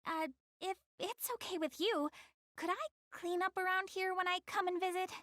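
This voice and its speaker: A woman's voice: very high-pitched, fairly even intonation, moderate pace, fairly bright tone, dry recording, clean studio sound. A woman playing a young goddess in an anime game dub, proud but prim and easily flustered, with a stammering, backtracking manner. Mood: bashful, defensive.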